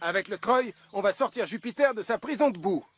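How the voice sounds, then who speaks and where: A man voicing a calm, competent firefighter in a French children's cartoon, giving clear instructions. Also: high-pitched, fairly quick. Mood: calm, instructive. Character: calm, competent male firefighter leading a rescue. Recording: music behind the voice.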